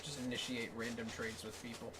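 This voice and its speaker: A man's voice: medium pitch, little pitch movement, brisk, very noisy. A man livestreaming a game and chatting casually as he plays. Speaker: livestream gamer. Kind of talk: casual gameplay chat. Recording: music playing, distant mic. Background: game music.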